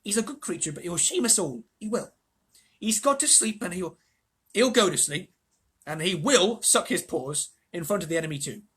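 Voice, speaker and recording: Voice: mid-range voice, expressive, normal speed, fairly bright tone, slightly rough, pitched above the performer's own voice. Reader as fretful, blunt little grumbler. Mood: urgent, fretting. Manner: urgent, fretting, blunt. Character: anxious, grumpy male companion of a young king.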